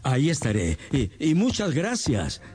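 A man's voice: medium pitch, wildly swooping pitch, measured pace, a little mellow, slight background noise. A man playing a distraught, anxious banker and uncle in a melodramatic radio drama, with a hesitant, agitated and theatrical delivery. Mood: flustered.

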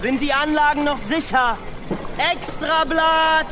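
A male performer playing a young newspaper boy in a radio drama, loudly crying headlines in drawn-out calls. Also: very high voice, noisy background. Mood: excited, urgent. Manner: loud, drawn-out calling. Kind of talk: street crier in radio drama.

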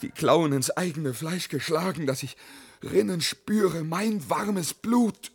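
An actor in a German radio drama playing a brash, defiant young braggart with a theatrical, impassioned delivery. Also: male voice, fairly high pitch, slow, slightly rough, heavily acted. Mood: anguished, struggling.

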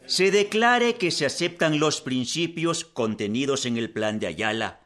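An actor playing a solemn convention delegate, declaiming formal resolutions deliberately and emphatically in a historical radio drama. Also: male voice, fairly high pitch, animated, a bit bright. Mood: solemn, emphatic.

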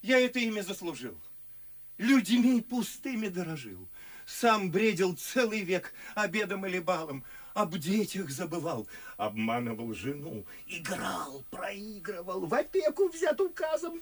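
An actor playing an effusive, foolish socialite in a radio verse comedy, rambling in melodramatic exclamations. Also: male voice, very high-pitched, fairly bright tone, exaggerated voice. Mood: boastful confession. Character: effusive foolish tipsy socialite, self-abasing braggart.

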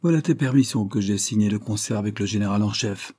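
A man's voice: on the low side, quick pace, dark tone, no room sound, natural voice. A man voicing a ferocious revolutionary official in an audiobook, ironic, contemptuous and declamatory. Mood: menacing joviality.

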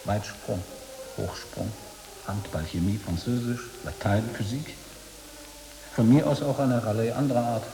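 A man playing a probing former boarding school pupil back on a visit, speaking deliberately in a German radio drama. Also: on the low side, gravelly. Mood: insistent. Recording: long hall reverb, audible background noise.

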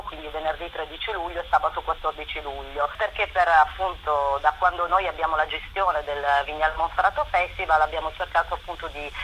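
A woman's voice: low voice, sharp tone, a little hiss or hum. A woman explaining a programme in a radio interview, wordy and full of filler phrases, over a phone line. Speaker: festival representative, interview guest. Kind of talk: promotional radio interview, running through a programme.

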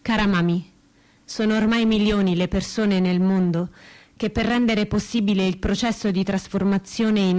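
An actress playing an earnest, resolute young woman militant in a radio theatre monologue, speaking in measured, declarative short phrases. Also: medium pitch, a little mellow, close to an everyday voice. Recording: music under the voice.

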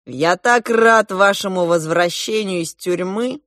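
A woman's voice: medium pitch, unhurried, fairly bright tone, dry recording, clean studio sound, lightly acted. A woman voicing a boastful, imperious elder prince in an audiobook, with grand exclamatory delivery. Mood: effusive.

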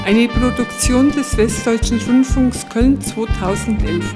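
Slow, higher-voiced woman announcer over music. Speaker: credits announcer. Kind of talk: scripted radio play credits.

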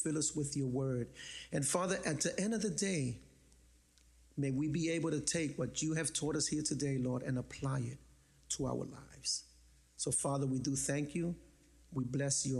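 A pastor from the Bronx, preaching a sermon in a lively, joking, conversational way. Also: male voice, medium pitch, gravelly. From the Bronx, New York.

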